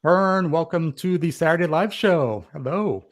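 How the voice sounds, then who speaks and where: A man's voice: fairly high pitch, a little slow. A man chatting casually as a live show host, with an enthusiastic, effusive way of talking.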